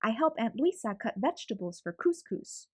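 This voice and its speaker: A woman reading a children's picture book aloud with an expressive storytelling manner. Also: fairly high pitch, normal speed.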